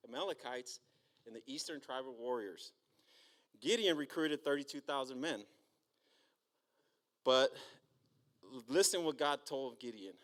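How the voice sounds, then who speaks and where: A man giving an informal talk on a Bible story in church, casual and checking in with his listeners often. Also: on the high side. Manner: casual, checks in with "right?" and "okay?". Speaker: church speaker.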